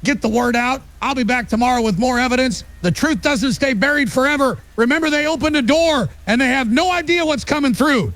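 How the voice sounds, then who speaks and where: A man's voice: extremely high pitch, brisk, fairly bright tone, no room sound. A man playing a paranoid conspiracy broadcaster in an audio drama, urgent and ominous. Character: paranoid male conspiracy broadcaster signing off defiantly.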